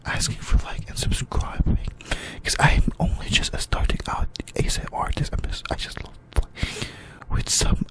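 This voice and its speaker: An amateur ASMR host speaks entirely in a breathy whisper. The delivery is hushed and informal, drifting into casual asides while presenting to listeners. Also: normal speed.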